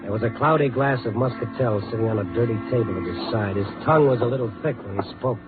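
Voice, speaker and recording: An American actor playing a tough, steady night-beat newspaper reporter in a 1950s radio drama, speaking in a clipped, dry manner. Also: male voice, medium pitch, quick pace, over loud music, lightly acted. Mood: tense narration. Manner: clipped, dry, hard-boiled. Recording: boxy, narrow 1950s radio recording.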